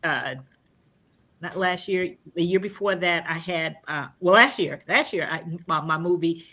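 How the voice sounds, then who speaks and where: A woman's voice: on the low side, sharp tone. A woman in her sixties, a guest on a podcast, chatting casually from home over a video call with lots of fillers and restarts. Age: 60s. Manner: halting, many fillers and restarts. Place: home room.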